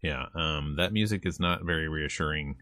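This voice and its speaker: Deep-voiced man narrating a game, wryly. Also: moderate pace, pushed and bright, dry recording. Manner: wry, informal asides. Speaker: gaming streamer. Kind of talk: casual visual novel playthrough, narrating and commenting.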